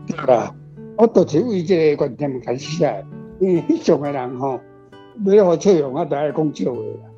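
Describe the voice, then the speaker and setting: A man's voice: fairly high pitch, expressive, moderate pace, mellow. A man with a Taiwanese accent, explaining casually and conversationally as an author answering questions, often repeating himself. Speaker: author answering readers' questions about a story. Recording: dull lo-fi sound with music underneath.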